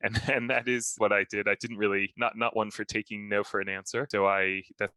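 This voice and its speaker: A man's voice: on the low side, little pitch movement, fairly quick, bright, forceful tone, smooth, no echo. A man in his thirties, originally from the United States, with an American accent, telling his career story as a podcast guest in a fluent, enthusiastic way with frequent restarts. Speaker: guest, privacy consultant. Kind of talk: remote podcast interview.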